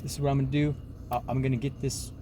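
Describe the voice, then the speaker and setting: A man's voice: medium pitch, level tone, racing, warm tone, slight background noise. A man in his forties talking in a rambling, emphatic self-help monologue, full of restarts and repeated words. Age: 40s.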